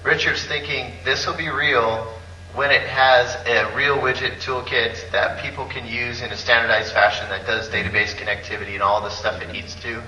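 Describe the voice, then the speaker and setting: A man's voice: mid-range voice, fairly even intonation, normal speed, pushed and bright, slight background noise. A man co-hosting a live podcast panel, chatting and joking with the others in front of an audience. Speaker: podcast co-host. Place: conference hall before a live audience. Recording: roomy hall, camera far off.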